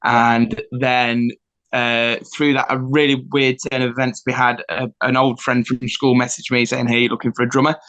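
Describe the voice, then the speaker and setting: A man's voice: medium pitch, flat delivery, moderate pace, fairly bright tone, poor audio. A young man from Nottingham, chatting as a musician guest in a self-deprecating way with lots of fillers. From Nottingham, England. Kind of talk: casual interview chat.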